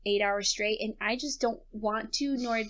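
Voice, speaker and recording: A woman's voice: on the high side, measured pace, fairly bright tone. A young woman from the United States chatting casually as a podcast co-host, telling stories with lots of "like". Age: young adult. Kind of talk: casual chat.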